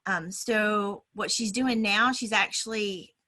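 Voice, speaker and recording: A woman's voice: mid-range voice, slow, sharp tone. A woman hosting a podcast, chatty and enthusiastic while introducing her guest. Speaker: podcast host.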